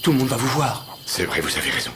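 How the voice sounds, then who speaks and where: A noisy amateur dub carries a man acting as a jittery, scheming fantasy bargainer. His pitch swings wildly from low to high, and his delivery is urgent and theatrical. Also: very animated, fast, lightly acted. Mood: urgent, hushed. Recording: amateur dub with loud background noise.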